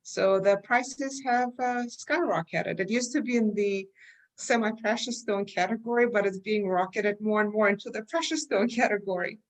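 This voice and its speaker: A middle-aged woman from Armenia with a slight Armenian accent, answering interview questions in a measured, explanatory way with frequent fillers. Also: mid-range voice, low-quality recording. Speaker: guest, research company chair.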